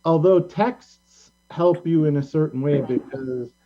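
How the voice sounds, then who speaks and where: A talk show host in a casual group chat, speaking in a halting, rambling way at a middling pitch. The audio is dull and lo-fi, as from a video call.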